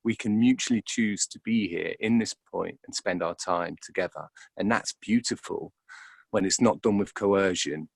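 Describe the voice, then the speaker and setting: A man's voice: low voice, moderate pace, vocal fry, slightly rough, dry recording. A man reflecting in an interview, speaking hesitantly and repeating words as he thinks aloud. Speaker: interviewed actor.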